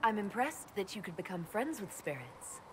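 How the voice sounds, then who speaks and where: A young elven mage heroine in a fantasy game, wry and curious at a middle pitch. Her lines carry a long reverb and faint noise. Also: sing-song, moderate pace, a bit bright. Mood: curious, teasing. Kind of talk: video game dialogue acting.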